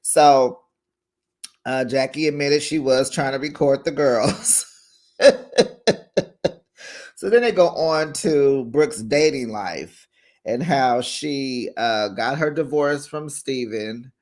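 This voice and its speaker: A man in an easy, gossipy recap. His voice sits at an ordinary middle pitch. He jumps up in pitch to act out what others said, then drops back to his own talk. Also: slow, long pauses, darkish tone. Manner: chatty, acts out quotes with raised pitch, laughs often. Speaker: recap show host. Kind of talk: casual recap commentary.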